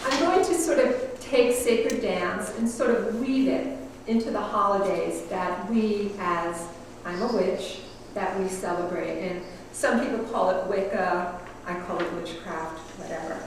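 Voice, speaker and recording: Woman guest lecturer, mid pitch, lyrical reading manner, far-off hall recording. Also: unhurried, slight background noise. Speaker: guest speaker, sacred dancer and priestess. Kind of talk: lecture with read-aloud poetic passages. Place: meeting room in front of an audience. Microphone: room microphone heard from a distance. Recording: echoey hall, voice far from the mic.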